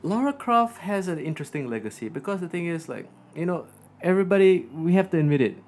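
A man's voice: on the high side, sing-song, fast, darkish tone, a little hiss or hum. A man from Singapore with a Singaporean English accent, co-hosting a casual film review podcast and teasing his co-host with jokes. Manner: joking, teasing, calls his co-host "sir".

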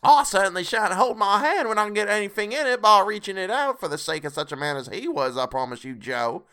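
Storybook role: brazen thieving woman, played by a man, high, strident, defiant, very animated. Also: fairly quick, clear, resonant tone. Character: brazen, defiant older working woman, thief.

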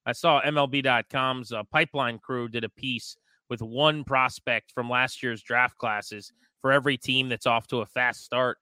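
A man's voice: medium pitch, steady tone, moderate pace, pushed and bright, smooth, dry recording. A man co-hosting a sports show, giving conversational baseball commentary.

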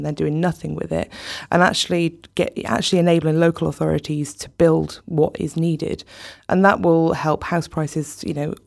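Firm woman with a gravelly edge. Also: deep voice, a little mellow. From South Wales. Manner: firm, argumentative. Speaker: panel guest. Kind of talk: studio panel discussion.

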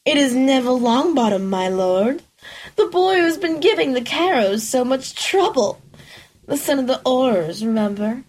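A woman's voice: high voice, expressive, moderate pace, a little mellow, low-quality recording. A woman voicing an eager, gleeful witch who fawns over her master, reading from a storybook. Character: gleeful, fawning female witch servant.

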